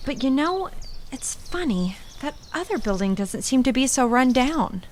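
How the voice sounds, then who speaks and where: An actress playing a practical, fondly exasperated wife in a radio drama, talking in a natural, gently teasing way. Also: female voice, sits high, big pitch swings, moderate pace, a little hiss or hum. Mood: musing.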